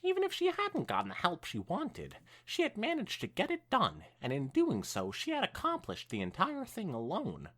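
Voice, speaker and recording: A woman with a fairly low voice reads a story aloud as a podcast co-host. Her delivery is extremely animated, swinging up and down expressively, with casual conversational touches. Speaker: podcast co-host reading fan fiction aloud.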